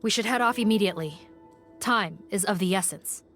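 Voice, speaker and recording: Eine zupackende, förmliche Polizistin, gesprochen von einer Frau, in mittlerer Stimmlage. Im Hintergrund ist deutlich Musik zu hören. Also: female voice, medium pitch, a bit bright, faint music behind, natural voice. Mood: brisk. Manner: brisk, formal. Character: brisk female police officer, businesslike. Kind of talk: video game voice acting.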